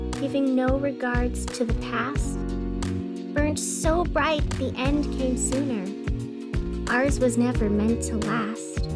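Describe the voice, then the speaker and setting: A woman acts as a female radio host in a scripted audio drama. Her voice is high and she takes her time, with theatrical pauses over a soft bed of music. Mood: somber, poetic. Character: sleepless, over-caffeinated female radio host in strange city. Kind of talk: scripted radio fiction narration.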